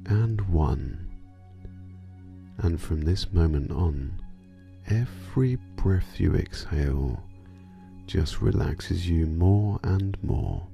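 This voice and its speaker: A man guiding a sleep meditation in a soothing, hypnotic manner, drawing out his phrases and letting endings fall soft and breathy. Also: deep voice, animated, takes it slowly, soft background music. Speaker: meditation guide.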